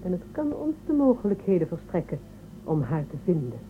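A woman's voice: fairly low pitch, very animated, fairly quick. An actress playing an insistent, commanding woman adviser who questions people in a science fiction radio drama. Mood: calculating. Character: probing, authoritative woman adviser in a space empire. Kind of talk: Dutch radio drama acting. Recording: tinny phone-like band, loud background noise.

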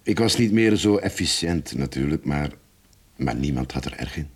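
An actor playing a rueful middle-aged recovering alcoholic confessing in a radio drama, husky, halting and frank. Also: male voice, very deep voice, expressive, heavily acted.

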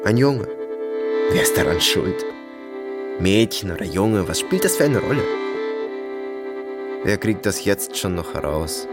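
A young actor playing a troubled soldier lost in memories, speaking in brooding, clipped fragments with a creaky voice in a radio drama. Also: male voice, sits low, sing-song, unhurried, long pauses. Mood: bitter, reflective. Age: young adult. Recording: music under the voice. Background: music.

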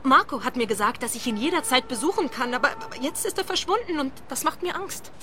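An actress playing an anxious, neurotic woman in a German-language radio play, nervous, stammering and highly strung. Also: animated, fast talker, a bit bright, faint music behind. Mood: worried. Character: anxious neurotic woman, troubled former clinic patient.

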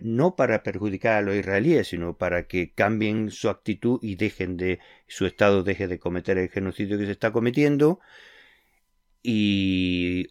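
A man's voice: fairly low pitch, no echo, studio quality. A man hosting a radio interview, explaining things earnestly with concrete examples. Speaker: radio host.

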